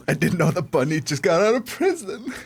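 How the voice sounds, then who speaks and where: Animated lower-voiced woman streaming a game. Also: normal speed, loud music behind the voice. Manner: giggly, sweary, playful. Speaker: gaming streamer. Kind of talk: casual game commentary.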